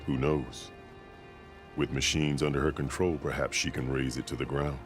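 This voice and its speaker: A battle-scarred warrior chief with an exceptionally deep voice. He speaks formally and solemnly, like a ruler who values order, in dramatic video game dialogue. Music plays clearly behind him. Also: level tone. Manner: formal, solemn, measured storytelling.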